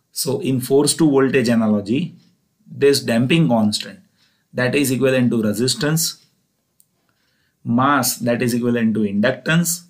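A man with an Indian accent narrates a technical lesson in a medium-pitched voice. He explains step by step, repeating key points in a plainly didactic way, as a lecturer would over slides. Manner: didactic, repetitive step-by-step phrasing, often opening with "so". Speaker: engineering lecturer.

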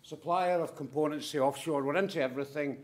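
A middle-aged man giving a conference presentation in brisk, punchy short statements with wry asides. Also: on the high side. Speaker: conference speaker from a manufacturing firm.

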